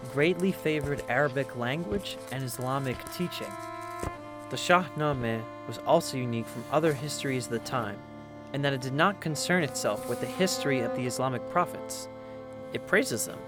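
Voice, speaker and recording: A man giving a scripted, dramatic narration of a historical biography in a literary storytelling manner. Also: medium pitch.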